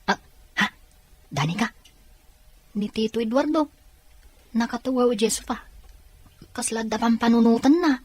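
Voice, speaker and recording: A woman's voice: fairly high pitch, normal speed, long pauses, darkish tone, no echo, natural voice. A woman playing a considerate young relative in a dramatized audio family story. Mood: thoughtful.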